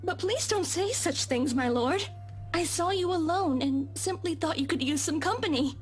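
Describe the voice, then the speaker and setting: A woman's voice: very high-pitched, expressive, moderate pace, soft background music. A woman playing a meek, deferential young maid in a visual novel game, speaking in a formal, old-fashioned, hesitant way. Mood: flustered.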